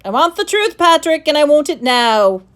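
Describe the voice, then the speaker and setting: A woman's voice: high voice, big pitch swings, moderate pace, pitched above the performer's own voice. A woman voicing a stern middle-aged male school headmaster who speaks in a commanding, clipped way.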